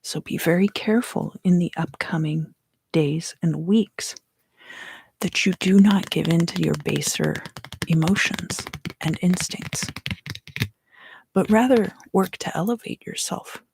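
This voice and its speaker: A woman in her thirties gives an ASMR tarot reading in hushed, unhurried, deliberate phrases, close to the microphone. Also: lowish voice, gravelly, noisy background. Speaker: tarot reader and ASMR host. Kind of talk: ASMR tarot reading, whispered and softly spoken.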